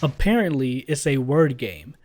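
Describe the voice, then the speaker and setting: Brief: male voice-over reviewer, mid-pitched, blunt and sarcastic, casual. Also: sing-song, normal speed, darkish tone. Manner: blunt, sarcastic, swears freely. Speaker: YouTube reviewer.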